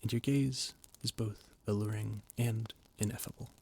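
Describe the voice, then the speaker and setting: A man's voice: lowish voice, fairly even intonation, moderate pace, gravelly, darkish tone, breathy edge. A man voice-acting a flustered, formal royal adviser in an audio roleplay, often stammering. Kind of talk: audio roleplay, scripted monologue.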